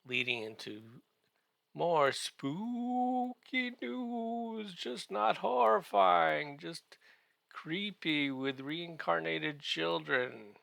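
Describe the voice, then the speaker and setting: A man giving a comedic podcast recap in a halting, drawn-out way, full of wry joking asides. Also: a little high, lively intonation, vocal fry, fairly bright tone, dry recording.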